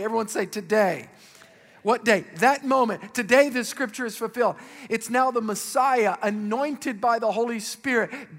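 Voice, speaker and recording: A male pastor with a raspy voice preaching a sermon, calling out questions to the congregation with rising emphasis. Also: high voice, a bit bright, long reverb tail.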